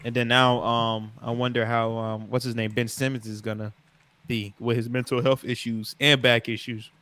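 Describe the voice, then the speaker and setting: A man's voice: mid-range voice, level tone, moderate pace, fairly bright tone, dry recording. Blunt, slangy male co-host. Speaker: sports debate co-host. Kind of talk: casual sports debate chat. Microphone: home condenser mic.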